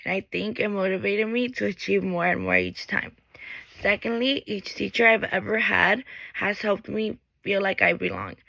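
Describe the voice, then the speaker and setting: Creaky bright young woman. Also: medium pitch, lively intonation, normal speed, no room sound, low-quality recording. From Mexico. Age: late teens. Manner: earnest, reads from a script, with small restarts. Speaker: graduating high school student. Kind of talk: reading a prepared graduation speech.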